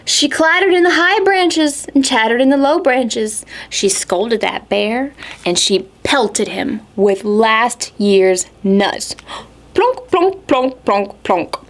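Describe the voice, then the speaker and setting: A woman storytime host reading aloud expressively to small children, phrasing clearly and asking them questions. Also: a little high, expressive, slow pace.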